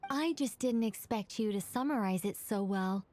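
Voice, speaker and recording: A woman's voice: mid-range voice, moderate pace, darkish tone, a little hiss or hum, close to an everyday voice. A woman voicing an eager young scholarly mystic in an anime-style video game, wordy and flustered, trailing off and correcting herself. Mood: flustered, explaining.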